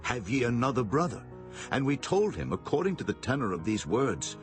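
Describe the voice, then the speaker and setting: Actor as animated, anxious biblical brother. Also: male voice, mid-range voice, a little fast, lightly acted. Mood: defensive. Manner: animated, formal, anxious explaining. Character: anxious Israelite brother, deferential and defensive. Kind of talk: dramatized scripture acting. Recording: music behind the voice.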